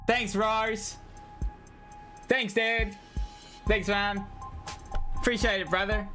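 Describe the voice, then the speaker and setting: A man livestreaming a game, talking to his chat in an animated, slangy way as he thinks aloud. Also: high-pitched, slow pace, faint music behind. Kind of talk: game livestream, reading dialogue aloud and commenting.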